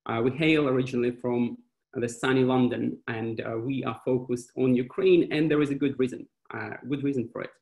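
A man's voice: mid-range voice, steady tone, brisk, gravelly, darkish tone. A man in his thirties, a venture fund panelist on a webinar video call, talking animatedly with many fillers and restarts. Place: small indoor room with wall clock. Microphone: laptop webcam video call. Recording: echoey room on a video call.

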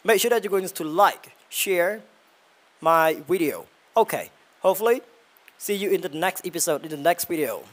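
A young man teaches English vocabulary in a slightly raised voice. He talks haltingly, full of fillers and restarts, with a handheld mic held close to his mouth in a small tiled room. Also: high-pitched, lively intonation. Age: young adult. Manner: halting, many fillers and self-corrections. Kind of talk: vocabulary lesson vlog.

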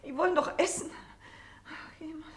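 An actress as a fretful, high-voiced sick wife. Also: female voice, steady tone, moderate pace, lightly acted. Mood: distraught. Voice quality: weak, breathy, half-whispered. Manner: plaintive, querulous. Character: sick, fretful wife lying gravely ill in bed. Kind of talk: 1960s German radio drama acting. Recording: noisy old radio play recording.

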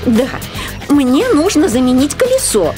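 A woman's voice: high-pitched, sing-song, unhurried. A woman playing a flustered, easily bewildered lady driver in a children's cartoon. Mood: dismayed. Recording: background music under the voice.

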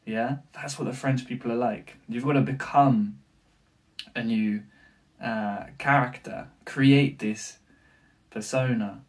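A young Englishman talks straight to the camera in a small room, coaching viewers on learning languages. He is lively and talks with his hands, with plenty of filler sounds. His voice sits a little back from the mic, at an ordinary middle pitch. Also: slow, far from the mic. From England. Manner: animated, gestures with hands, many fillers. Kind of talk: to-camera language tips video. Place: small room with bookshelf, indoors.

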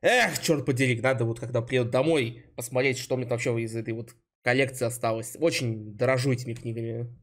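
A man's voice: mid-range voice, fairly even intonation, fast, hardly any pauses. A young man giving casual reaction commentary, musing aloud and swearing freely. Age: young adult. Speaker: reaction video host. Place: indoor home streaming setup.

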